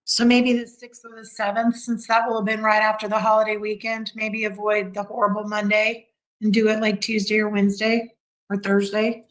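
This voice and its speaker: A woman's voice: mid-range voice, flat delivery, moderate pace, low-quality recording. A woman attorney answering the judge in a court hearing, brief and matter-of-fact.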